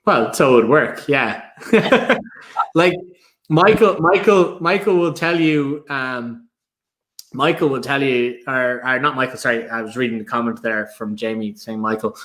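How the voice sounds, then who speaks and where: A man's voice: mid-range voice, unhurried, a bit bright. An Irish man hosting a casual live stream, telling stories with lots of fillers and restarts. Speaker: host.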